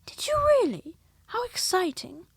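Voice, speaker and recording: An eager little boy calls out in a high, excited voice, put on by a woman reading aloud. His lines leap up in pitch and sound dry and close to the mic. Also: unhurried, gravelly, no room sound, heavily acted, low-quality recording. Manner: excited, bright exclamations. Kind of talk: storybook reading.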